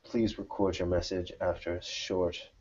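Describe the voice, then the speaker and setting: A man's voice: deep voice, flat delivery, normal speed, a little mellow, dull audio. A man narrating a tabletop roleplaying game as game master, describing the scene to players.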